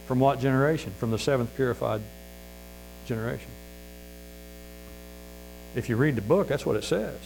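A man with a Missouri accent delivers a sermon in a folksy, earnest manner, stumbling through fillers and restarts. His pitch sits mid-range for a man. A faint electrical hum runs under the recording. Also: normal speed, gravelly, long pauses, darkish tone, low-quality recording. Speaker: preacher.

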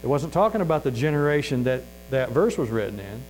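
Folksy Missouri preacher, faint hum. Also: male voice, mid-range voice, sing-song, quick pace, darkish tone, low-quality recording. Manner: folksy and earnest, many fillers and restarts. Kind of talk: sermon.

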